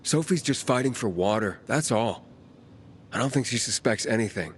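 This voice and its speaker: A man voicing a wary male wanderer in a video game, speaking calmly and drily. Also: mid-range voice, moderate pace, slight background noise, lightly acted. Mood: dry. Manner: calm, dry, laconic.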